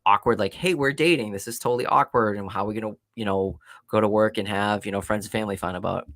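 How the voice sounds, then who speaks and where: A man in his late thirties chatting casually on a livestream, telling rapid, profane stories. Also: lowish voice, fairly bright tone, no room sound, studio quality. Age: 30s. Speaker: livestream guest. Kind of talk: casual chat.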